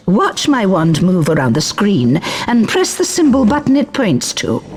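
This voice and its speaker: A woman voicing a strict, brisk professor of magic, stern and precise, in a video game. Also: medium pitch. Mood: instructing. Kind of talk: video game character acting.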